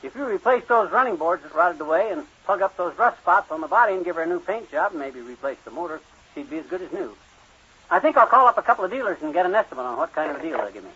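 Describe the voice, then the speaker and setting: An actor playing a stubborn, folksy, penny-pinching husband in an old-time radio comedy, blustering and arguing. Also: male voice, sits high, very animated, fast talker, bright, forceful tone, husky, exaggerated voice. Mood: proud, indignant. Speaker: comic lead in a radio sitcom. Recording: dull vintage radio recording.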